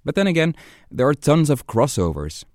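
A man's voice: a little high, very animated, moderate pace, darkish tone, no echo, clean studio sound. A man hosting a podcast, reading scripted narration in an explanatory way. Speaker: podcast host.

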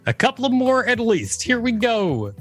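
A man's voice: very high-pitched, wildly swooping pitch, normal speed, fairly bright tone, soft background music. A male podcast co-host reading an encyclopedia article aloud, with an amused, casual manner.

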